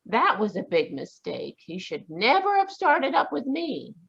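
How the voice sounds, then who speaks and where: A woman voicing a spirited, eager young woman who talks in a bright, exclamatory way in a live online radio drama. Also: fairly high pitch, huge pitch swings, unhurried, creaky, husky, dull audio, full character voice. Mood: perky.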